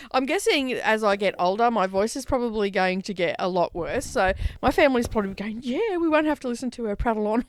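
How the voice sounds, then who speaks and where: A croaky, stuffed-up woman doing a rambling, chatty voiceover on a microphone for her craft vlog. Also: fairly high pitch. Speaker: craft vlogger. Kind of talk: casual voiceover chat.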